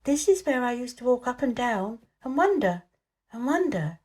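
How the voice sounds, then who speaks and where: Woman playing a high-pitched, breathless, eager young girl. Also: very animated, normal speed, a little mellow. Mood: hushed, breathless. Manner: breathless, urgent, solemn delight.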